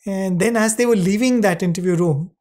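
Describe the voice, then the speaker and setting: A man narrating a devotional anecdote conversationally, using tag questions and gentle humour. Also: sits high, a little fast. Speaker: satsang co-host.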